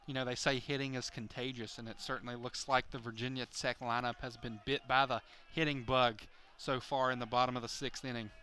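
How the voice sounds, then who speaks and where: A man with a bright, forward voice works as a baseball color commentator. His analysis is conversational and loosely phrased, with a medium pitch. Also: level tone, brisk, hardly any pauses, a little hiss or hum. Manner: conversational, peppered with "you know".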